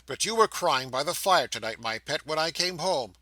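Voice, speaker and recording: A man voicing a doting elderly ticket-porter who bursts with fond, excited exclamations in a storybook reading. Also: on the high side, moderate pace, no echo.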